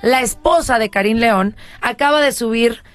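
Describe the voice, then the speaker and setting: A woman hosting a casual entertainment chat show, talking fast and asking the audience questions. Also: fairly high pitch, no echo, faint music behind. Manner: chatty, asks the audience questions. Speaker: show host.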